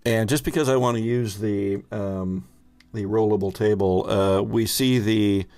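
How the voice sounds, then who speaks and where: A man's voice: fairly low pitch, fairly even intonation, measured pace, a little mellow, dry recording. A man hosting as game master, narrating a tabletop game casually with a playful, hesitant delivery.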